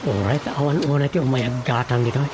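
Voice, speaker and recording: A man's voice: mid-range voice, a little fast, over loud music, lightly acted. An actor playing a plain-spoken, inquisitive village storekeeper man in an Ilocano radio drama comedy, with an Ilocano accent. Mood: grumbling.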